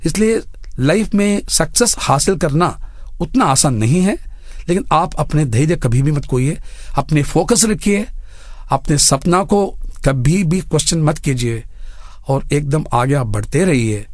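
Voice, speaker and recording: Male interview guest, earnest and methodical in making points, very animated intonation, raised pitch. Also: unhurried, no echo, clean studio sound. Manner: earnest, makes enumerated points. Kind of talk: radio interview, advocacy and advice.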